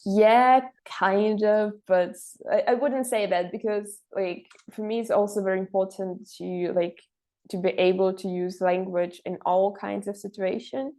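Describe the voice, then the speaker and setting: Gravelly-voiced young woman, Russian native. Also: mid-range voice, fairly even intonation, unhurried. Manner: hesitant, many "like" and "you know" fillers, laughs softly. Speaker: interview guest, intern. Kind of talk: casual interview answers.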